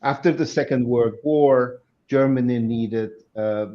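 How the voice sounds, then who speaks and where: A man in his sixties from Turkey, a guest telling his story slowly and in measured phrases on a video call. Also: medium pitch, muffled recording. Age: 60s. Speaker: guest speaker. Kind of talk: online interview.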